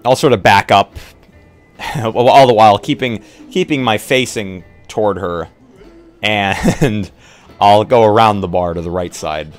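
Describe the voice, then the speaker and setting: A man taking part as a player in an improvised roleplay, speaking casually in a hesitant, halting way. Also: lowish voice. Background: music.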